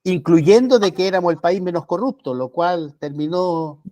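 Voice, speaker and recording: Chilean man, slightly high voice, dry close recording, halting delivery. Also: mellow, dry recording. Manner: halting, restarts words. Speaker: podcast co-host. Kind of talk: casual chat.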